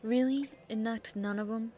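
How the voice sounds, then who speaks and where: A woman's voice: fairly high pitch, steady tone, moderate pace, dark tone. Woman muttering through casual gameplay, music behind. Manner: muttering to self in short reactive remarks. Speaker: game streamer. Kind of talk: casual gameplay commentary, thinking aloud. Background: video game music.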